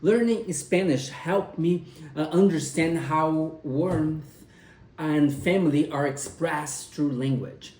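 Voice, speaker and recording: A young man whose first language is Portuguese gives a persuasive class speech at home, speaking haltingly with pauses and restarts. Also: a little high, darkish tone. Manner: hesitant, with frequent pauses and restarts. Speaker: college student giving a speech. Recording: roomy sound, mic at a distance.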